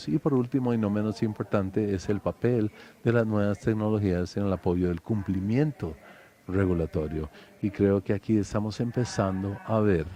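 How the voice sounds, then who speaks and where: Low, mellow-voiced man presenting at a conference. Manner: measured, with frequent filler pauses. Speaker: conference panelist.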